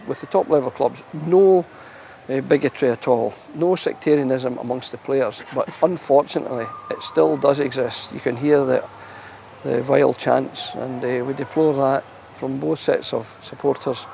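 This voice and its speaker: A man speaks in measured, emphatic phrases for a documentary interview. His voice is mellow and creaky, with faint outdoor noise behind it. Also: medium pitch.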